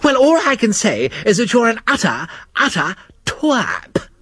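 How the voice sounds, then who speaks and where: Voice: medium pitch, wildly swooping pitch, measured pace, bright, forceful tone, husky, no room sound, voice pushed up, full character voice. A storyteller voicing a stubborn male earthworm who sulks and won't make up. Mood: huffy. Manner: huffy, sulking. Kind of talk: storybook reading.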